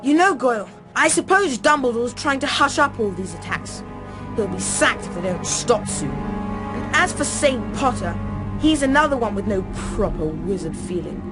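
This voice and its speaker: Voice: mid-range voice, animated, normal speed, over loud music, lightly acted. An actor playing a sneering, arrogant schoolboy wizard bully in a video game, drawling and impatient. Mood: scornful, gloating.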